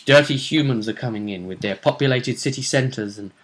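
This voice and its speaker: A man's voice: mid-range voice, a little fast. Man hosting a casual recap. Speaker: vidcast host. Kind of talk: casual recap commentary on a tabletop role-play tournament.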